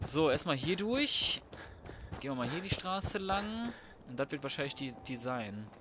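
A man's voice: mid-range voice, very animated, moderate pace, background noise. A man giving casual let's play commentary, thinking aloud in slang with some swearing. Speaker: gaming video host.

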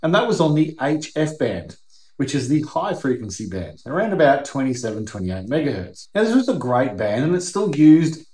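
A man's voice: medium pitch, sing-song, normal speed, darkish tone. A middle-aged man with an Australian accent hosting an explanatory video in a conversational, emphatic teaching manner. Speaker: host. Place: workshop or garage, indoors. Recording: roomy, echoing indoor sound.

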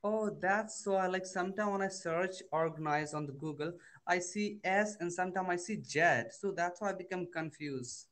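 A young Indian man with a Bihari accent practices English over a video call. His voice sits a little high for a man, and he talks hesitantly, leaning on fillers. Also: moderate pace, hardly any pauses, a bit bright. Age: young adult. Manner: hesitant, many fillers like "you know" and "I mean". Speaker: student learning English. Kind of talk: casual conversation lesson.

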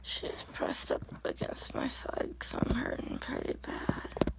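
A woman's voice: slow, poor audio. A young woman in pain, just woken up, whispering a video diary from bed into her phone. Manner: tired, whispery, mostly unvoiced. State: in pain, groggy from waking. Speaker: vlogger. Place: in bed in a bedroom. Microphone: phone front camera at arm's length.

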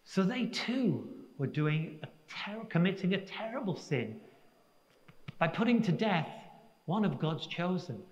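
Older male priest, sermon, high bright voice, slow deliberate delivery, long church reverb. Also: sing-song, dull audio. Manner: slow, reflective preaching with emphatic pauses. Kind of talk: Sunday homily. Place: large church sanctuary.

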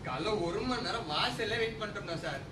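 A man's voice: high-pitched, expressive, fast. A man acting in a stage comedy as a put-upon bank employee, polite on the surface but sarcastic. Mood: cheeky. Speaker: stage actor. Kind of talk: comic stage play acting. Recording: distant mics, echoey hall, noisy.

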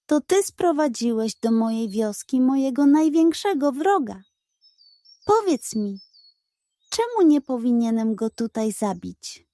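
Stern elderly beast warlord , performed by a female narrator, gruff and commanding, for an audio storybook. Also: expressive, a little slow, dark tone, no echo, studio quality. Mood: threatening. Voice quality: low, hoarse. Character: old battle-scarred warrior chief beast, stern and suspicious.

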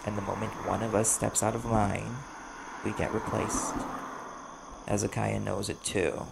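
Man acting a low, very gravelly vampire bounty hunter. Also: background noise. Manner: measured, dry, pointed pauses. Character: cautious, sardonic male vampire bounty hunter, cool and bargaining. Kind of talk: audio roleplay, slow dramatic monologue to the listener.

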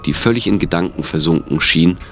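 A man's voice: sits low, brisk, fairly bright tone, faint music behind. A man reading scripted narration for an audio drama.

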